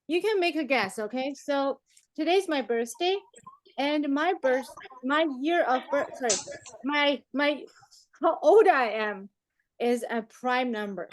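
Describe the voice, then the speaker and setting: High-pitched playful woman teacher in her forties. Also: expressive, a little slow, no echo. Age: 40s. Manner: playful, teasing, often chuckling. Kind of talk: interactive online class chat.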